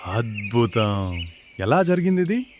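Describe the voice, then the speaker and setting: Male actor for restless, greedy young villager, storybook cartoon; medium-pitched, complaining, faint noise behind. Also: very animated, slow. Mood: amazed. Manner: complaining, cynical, exclaiming. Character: impatient, money-hungry cynical young village man. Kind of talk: animated moral story dialogue.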